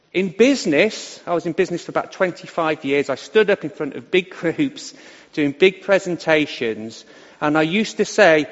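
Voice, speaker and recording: A middle-aged vicar who stammers on some words, preaching a sermon from the front of a church. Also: male voice, on the high side. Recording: echoey hall, dull lo-fi sound.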